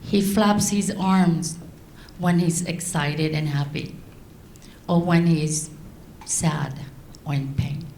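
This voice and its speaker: A middle-aged woman from Laos, raised in France, giving a prepared speech to an audience in slow, deliberate phrases. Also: low voice, gravelly, darkish tone, roomy sound, a little hiss or hum. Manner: slow, deliberate delivery with long pauses. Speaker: guest speaker.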